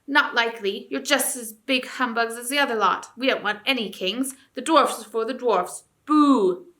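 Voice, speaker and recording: A woman's voice: high voice, normal speed, bright, forceful tone, voice pushed up. A woman voicing a gang of scornful male dwarfs, sneering and taunting. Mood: jeering.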